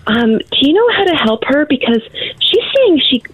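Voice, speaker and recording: A woman's voice: sits high, very animated, moderate pace, no room sound, phone-quality sound. A woman in her thirties calling in to a radio show, telling a story chattily and laughing as she goes. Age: 30s. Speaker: caller. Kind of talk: casual call-in story.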